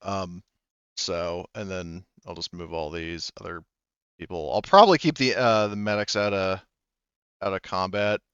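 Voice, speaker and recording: A man working as game master in a tabletop role-playing game, casually narrating and thinking aloud haltingly through the rules. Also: deep voice, a bit bright, clean tone, no echo, muffled recording.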